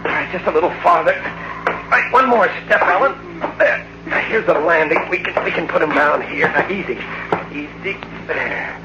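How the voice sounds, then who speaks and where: A man acting a brave young hero in an old-time radio drama, with an earnest, halting urgency. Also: on the high side, animated, loud music behind the voice. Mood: frantic, straining. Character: brave, tense young hero facing a monster. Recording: muffled, noisy old radio broadcast recording.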